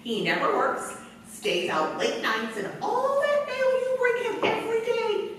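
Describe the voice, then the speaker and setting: A fussy, suspicious neighbor lady played by a woman in an old 1940s radio play. Her voice is bright and very animated, ringing in a reverberant hall. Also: very high voice, unhurried, distant mic, full character voice. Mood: suspicious, gossipy. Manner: fussy, gossipy. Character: gossipy, suspicious small-town mother and neighbor woman. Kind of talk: 1940s radio drama acting on stage. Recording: reverberant hall from afar, faint noise.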